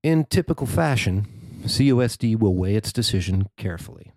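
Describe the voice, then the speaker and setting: A man's voice: lowish voice, expressive, normal speed, darkish tone, dry recording. An American man reading a scripted news report as a reporter. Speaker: reporter reading own written article.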